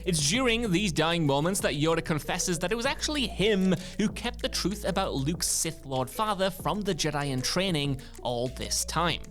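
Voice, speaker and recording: A man's voice: a little high, expressive, normal speed, few pauses, a bit bright. A man reading a scripted entertainment voice-over as the narrator of a list video. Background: music.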